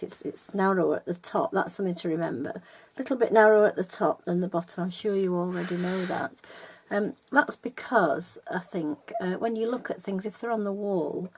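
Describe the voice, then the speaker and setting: A woman's voice: medium pitch, a little mellow, a little hiss or hum, low-quality recording. An older woman teaching felt-making from her home, talking casually with many ums and restarts. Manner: chatty, hesitant, with many ums and self-corrections. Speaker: felting teacher. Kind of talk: casual video tutorial. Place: home room, upstairs.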